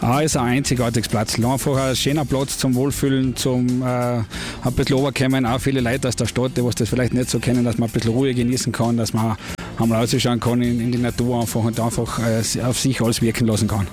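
Easygoing Carinthian innkeeper with fillers. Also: male voice, mid-range voice, flat delivery, a little fast, a little mellow, faint music behind. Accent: Carinthian Austrian German. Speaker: innkeeper interviewed on radio. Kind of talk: casual interview.

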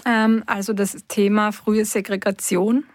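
A woman education researcher appears as a talk show guest. She explains in a measured, steady way, with a few fillers. Her voice is mid-pitched, dry and close to the mic. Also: no room sound.

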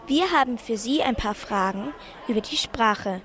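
A woman's voice: on the high side, lively intonation, moderate pace, a bit bright, faint music behind. A young girl whose first language is Wolof, giving plain short answers in an interview. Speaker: child reporter. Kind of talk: interview chat. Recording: noisy background.

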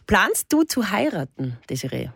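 Deadpan woman radio host. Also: medium pitch, normal speed, creaky, husky, no room sound, studio quality. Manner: deadpan, mock-earnest. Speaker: radio co-host reading comic horoscopes. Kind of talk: playful radio banter, deadpan absurd horoscope.

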